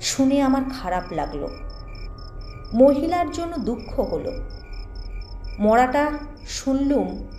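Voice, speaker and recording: A woman with a high voice tells an eerie ghost story at a slow, deliberate pace. Her delivery is expressive, with dramatic pauses. The voice carries an echo over a music bed. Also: a little hiss or hum. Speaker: audio story narrator.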